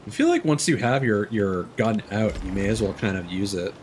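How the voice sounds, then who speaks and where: Guy streaming a game, lowish voice that swings around a lot, rambly, noisy background with game sounds. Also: expressive, moderate pace. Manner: rambling, self-correcting, casually profane. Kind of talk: live gameplay commentary.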